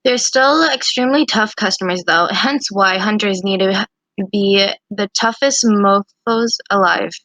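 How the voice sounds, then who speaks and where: Woman acting a bright, breezy student huntress. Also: medium pitch, measured pace, no room sound, natural voice. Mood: matter-of-fact. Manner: breezy, slangy, teasing. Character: plucky, mischievous young female student huntress. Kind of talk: amateur visual novel voice acting.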